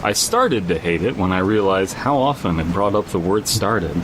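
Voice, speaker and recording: A man co-hosting a podcast in casual banter and story reading, with a rambling, halting, wry delivery. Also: sing-song. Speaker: podcast co-host. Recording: noisy recording with low rumble.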